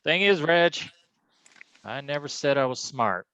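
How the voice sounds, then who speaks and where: A man's voice: on the high side, animated, measured pace, fairly bright tone, no room sound, dull audio. An actor playing a wisecracking, stubborn private detective who talks in slangy tough-guy style in a staged reading. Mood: determined, wry. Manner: slangy, folksy tough-guy talk peppered with "huh?". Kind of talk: hardboiled detective stage acting.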